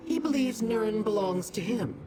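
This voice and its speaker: Fantasy game role: performer playing imperious otherworldly being, impatient with mortals, formal diction, wide swooping intonation, clear background noise. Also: mid-range voice, expressive, normal speed, natural voice. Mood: lofty, explaining. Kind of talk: fantasy video game voice acting.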